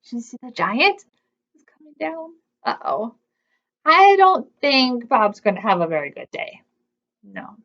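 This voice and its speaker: Female children's storytime host, high animated voice, unhurried, slightly dull lo-fi recording. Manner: expressive, simple child-directed phrasing. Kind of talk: animated read-aloud storytime for preschoolers.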